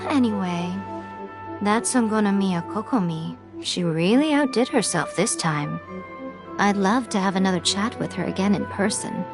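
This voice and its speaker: An actress playing a teasing, elegant, centuries-old fox shrine maiden in a video game, sly, languid and condescending. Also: female voice, medium pitch, lightly acted. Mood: wry, aloof. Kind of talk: video game character dialogue. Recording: game music behind the voice.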